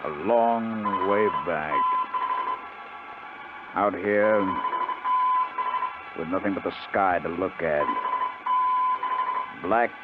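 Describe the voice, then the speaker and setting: An actor playing a weary, despairing castaway, brooding aloud in 1950s radio drama style. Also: male voice, sits low, phone-quality sound. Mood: bleak, dazed. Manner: slow, brooding, haunted monologue. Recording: very noisy, thin 1950s radio recording.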